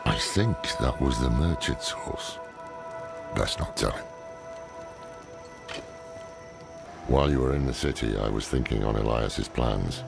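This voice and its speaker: A weary, cautious mentor from a video game. His voice is extremely low and heavily gravelled, delivering lore gravely and dryly. Loud music runs behind him. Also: moderate pace, full character voice. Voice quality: very deep, gravelly. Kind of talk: video game cutscene acting.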